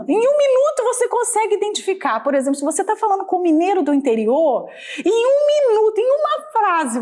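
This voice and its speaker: A woman's voice: very high-pitched, darkish tone. A woman from Minas Gerais with a Brazilian mineiro accent, preaching in a conversational sermon style and often checking in with her listeners. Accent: Brazilian Portuguese, Minas Gerais. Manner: conversational, asks the listeners for agreement. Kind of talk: sermon teaching.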